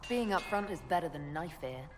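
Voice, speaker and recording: A woman voicing a composed, wry and diplomatic elf hero in a video game, measured and gently teasing. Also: fairly low pitch, lively intonation, music in the background, natural voice. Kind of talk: video game character acting.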